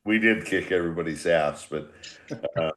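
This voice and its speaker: A man's voice: low-pitched, moderate pace, a bit bright. An older man in casual conversation, leading a group chat in a blunt, salty, rambling manner. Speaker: former baseball manager leading a reunion podcast.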